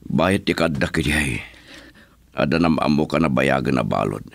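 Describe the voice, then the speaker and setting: A man with a Filipino accent playing a remorseful, pleading ex-convict son in an Ilocano radio drama, with a gravelly voice. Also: extremely low pitch, expressive, moderate pace, dry recording, heavily acted. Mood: earnest, repentant. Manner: pleading, earnest.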